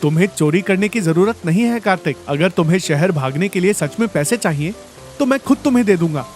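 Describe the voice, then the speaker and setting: A man voicing a kindly middle-aged village food-stall keeper in a cartoon story, gentle and reassuring. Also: high voice, lively intonation, fairly quick, soft background music. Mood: resolute, kind.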